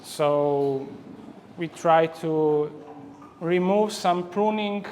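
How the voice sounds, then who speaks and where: A man with a Croatian accent giving a semi-formal conference presentation, haltingly and often repeating words. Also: a little high, a little mellow. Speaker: conference speaker, forestry professional. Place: large echoey indoor hall. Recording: reverberant hall, faint background noise.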